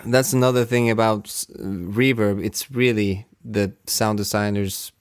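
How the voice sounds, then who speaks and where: Male sound designer, lowish voice, very unhurried, halting delivery, casual interview chat. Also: fairly even intonation, clean tone. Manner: halting, searching for words.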